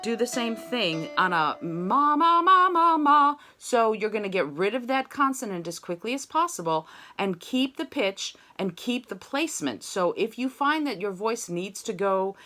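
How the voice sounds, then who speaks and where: A woman's voice: a little high, animated, moderate pace, few pauses, pushed and bright, faint music behind. A woman teaching an online singing lesson, coaching students and demonstrating sung scales on "ma". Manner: encouraging, demonstrates sung vowels.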